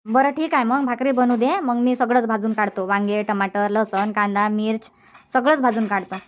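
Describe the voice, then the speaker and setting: A woman's voice: a little high, normal speed, slight background noise, natural voice. A woman voicing a chatty young village homemaker in a cartoon comedy, speaking colloquial Marathi with a Varhadi accent. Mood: chatty, practical.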